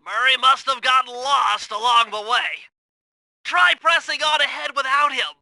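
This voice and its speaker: Very high-pitched nerdy cartoon turtle. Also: male voice, unhurried, creaky, bright, forceful tone, exaggerated voice. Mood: eager, precise. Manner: fussy, precise, technical explaining. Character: nerdy, anxious, fussy cartoon turtle gadget expert, male. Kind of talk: cartoon video game voice acting.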